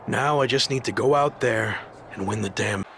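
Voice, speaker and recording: A man's voice: medium pitch, normal speed, noisy background, close to an everyday voice. A man playing a determined underdog pro wrestler in a video game cutscene. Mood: dry, resolved.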